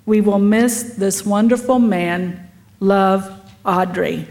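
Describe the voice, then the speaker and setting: A woman's voice: medium pitch, slow, a little mellow. A woman hosting a memorial gathering, reading a tribute and addressing those present. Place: memorial gathering at an art center.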